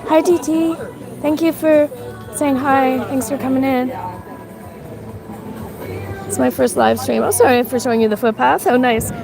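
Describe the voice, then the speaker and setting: A woman's voice: high-pitched, fairly even intonation, a little slow, creaky, long pauses, over music. A woman from Canada with a mixed Canadian and Irish accent, chatting on a live stream while walking outside and shivering from the cold, thanking viewers between rambling remarks with many fillers. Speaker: livestreamer. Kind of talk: casual live stream commentary. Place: outdoors on a city street. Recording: outdoor street recording with crowd.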